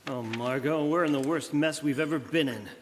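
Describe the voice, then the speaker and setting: An earnest, clever detective hero acted by a man in a live radio play. His fairly high male voice is urgent and decisive, carrying through a reverberant hall. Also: moderate pace, slight background noise, close to an everyday voice. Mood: anxious, urgent. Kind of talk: old-time radio drama acting.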